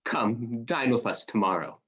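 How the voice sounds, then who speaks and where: An actor playing a warm-hearted, earnest young gentleman, a cheerful nephew, with hearty declamation in a radio play. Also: medium pitch, animated, unhurried.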